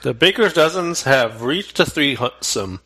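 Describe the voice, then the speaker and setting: A man's voice: medium pitch, animated, a little slow, bright, forceful tone, breathy edge. A young man co-hosting a podcast, trading dry wisecracks in casual chat. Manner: dry, wisecracking, laughs easily. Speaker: podcast co-host.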